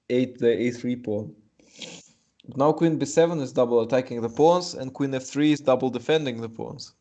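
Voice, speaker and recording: A man's voice: mid-range voice, mellow. A young man with a Russian accent, a native Russian speaker, doing live chess commentary in English, chatty and hesitant with many fillers.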